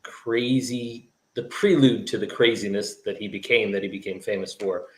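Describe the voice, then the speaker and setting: A man speaking as an interview guest over a video call, measured and thoughtful. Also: on the low side, steady tone, reverberant, poor audio. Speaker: guest, law firm founder. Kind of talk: conversational interview.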